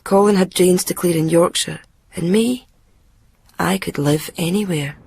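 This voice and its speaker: A woman's voice: on the low side, a little slow, creaky, a little mellow, slight background noise. An actress playing a fragile, lovestruck young Scottish woman from Glasgow, telling her story intimately and wistfully in a radio drama. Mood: dreamy, confiding. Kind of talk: radio drama monologue and dialogue.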